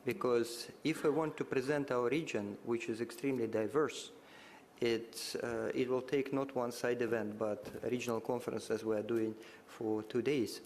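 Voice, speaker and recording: A man whose native tongue is Russian speaks at a conference in a large hall, his voice trailing into a long reverb with faint room ambience behind it. He talks in a halting, measured way, stopping often to find the next word. His pitch sits in an ordinary middle range for a man. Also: steady tone, a little mellow, a little hiss or hum. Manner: halting, many filler sounds and restarts, measured. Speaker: panel speaker at a conference side event. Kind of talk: formal conference remarks.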